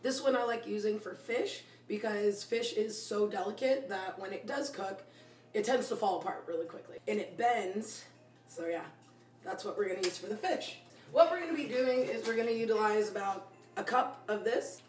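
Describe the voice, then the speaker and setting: A woman's voice: medium pitch, moderate pace, a little mellow, reverberant, recorded from a distance, faint music behind. A woman in her twenties hosting a casual home cooking vlog, playful and slangy, with a voice worn from use. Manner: playful, slangy, adds silly rhyming endings to words. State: losing her voice. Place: small home kitchen. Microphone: phone camera, close then a few steps away.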